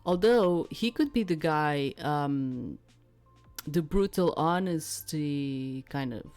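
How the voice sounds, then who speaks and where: A woman with a low voice plays through a game. She reads its text aloud and comments casually in a rambling, hesitant way. Faint music plays underneath. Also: expressive, slow pace, darkish tone. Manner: rambling, hesitant, searching for words. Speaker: game streamer.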